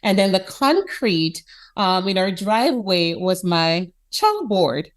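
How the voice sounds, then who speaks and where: Jamaican-accented woman, institute president, animated and expressive, middle pitch. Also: slow. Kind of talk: conversational interview.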